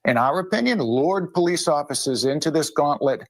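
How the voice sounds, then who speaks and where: A man's voice: on the high side, expressive, normal speed, no room sound. A man acting as an official spokesman, making a firm, formal statement.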